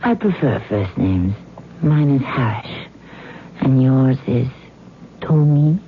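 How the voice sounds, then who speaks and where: Sultry, slow-talking seductress familiar. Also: female voice, very deep voice, animated, slight background noise, full character voice. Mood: coolly coy. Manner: sultry, breathy, languid. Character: seductive female cat familiar in human shape. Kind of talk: old-time radio drama acting. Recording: muffled old radio broadcast, music behind.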